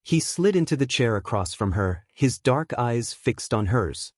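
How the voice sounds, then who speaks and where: A man's voice: mid-range voice, lively intonation, a little slow, no echo, clean studio sound. A man reading a dramatic fiction story as a scripted audiobook narrator.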